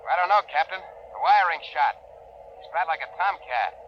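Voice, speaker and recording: An actor playing a terse power-room engineer reporting on a spaceship in a 1950s radio drama. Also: male voice, fairly high pitch, lively intonation, normal speed. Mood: tense, terse. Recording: muffled, faintly noisy old radio recording.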